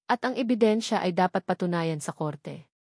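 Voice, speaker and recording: A woman's voice: lowish voice, animated, moderate pace, darkish tone, no echo, studio quality. Woman reading as a determined, composed woman lawyer. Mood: firm. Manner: firm, composed. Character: determined, caring female corporate lawyer, firm and composed.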